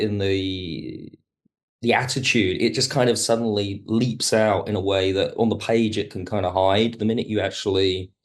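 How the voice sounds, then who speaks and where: Low-pitched man, reflective interview guest. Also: darkish tone. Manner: discursive, circles back and rephrases. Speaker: guest, audio drama writer. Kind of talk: podcast interview, reflective conversation.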